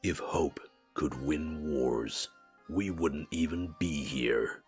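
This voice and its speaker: A man voicing a stern, aloof non-human war advisor in a visual novel game, speaking formally and with measured gravity. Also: a bass voice, faint music behind, full character voice. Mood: stern, dismissive. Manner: formal, measured, solemn speech without contractions.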